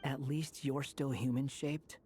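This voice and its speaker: A man's voice: mid-range voice, steady tone, unhurried, dark tone, soft background music, natural voice. An actor playing a reserved, pensive young man in a video game story quest. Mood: dry, questioning.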